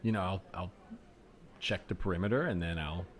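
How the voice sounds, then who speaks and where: Male improviser as earnest former hunter, lowish voice, halting delivery, slightly intense, comedy podcast sketch. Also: close to an everyday voice. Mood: awkward. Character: laid-back meat-eating man, former hunter, a bit intense.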